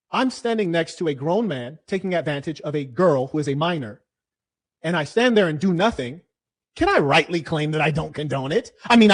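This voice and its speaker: A man with a high-pitched voice argues in a heated debate. He lectures hard and combatively, sinking low and then bursting into loud shouts.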